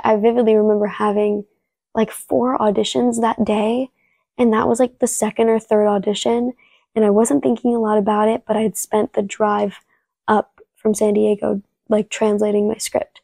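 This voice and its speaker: Young woman, native Spanish speaker, gravelly, dark-toned, dry close sound, relaxed storytelling. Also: mid-range voice, dry recording. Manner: storytelling, relaxed, frequent "like" and restarts. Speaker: actress, interview guest. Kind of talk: casual interview answers.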